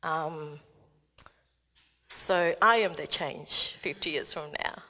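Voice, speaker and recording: A young woman from Australia speaking earnestly on a conference panel, pausing often with fillers. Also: deep voice, heavy background noise. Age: young adult. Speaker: youth panelist. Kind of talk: panel remarks. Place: large conference hall. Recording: hall reverb.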